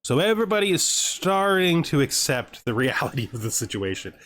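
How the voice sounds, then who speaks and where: A man's voice: a little high, very animated, a little fast, a bit bright. A man giving casual gameplay commentary, thinking aloud and often muttering questions and then answering them himself. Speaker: gamer narrating a let's play.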